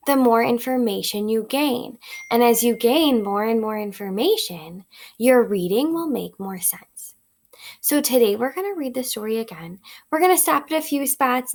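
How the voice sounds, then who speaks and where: A woman teacher reading a picture book aloud to children, expressive and instructive, pausing to ask questions. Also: on the high side, gravelly. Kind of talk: read-aloud lesson, storytelling with questions to children.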